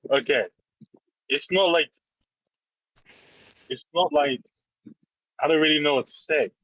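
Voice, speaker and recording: A young co-host speaks with a husky, slightly high voice. His sound is dry and close, and he talks haltingly, unsure of what to say. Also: fairly bright tone, dry recording, dull audio. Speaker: podcast co-host. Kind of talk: casual film discussion.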